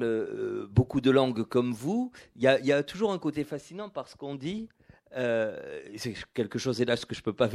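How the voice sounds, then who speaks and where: A man interviewing an author, asking questions with many restarts and frequent chuckles. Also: mid-range voice. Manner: stumbling restarts, warm chuckles.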